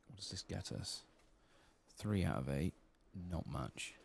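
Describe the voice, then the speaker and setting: Male ASMR game host: low-pitched, lilting soft murmur that slips into whispers, faint noise behind. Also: vocal fry. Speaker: gaming channel host.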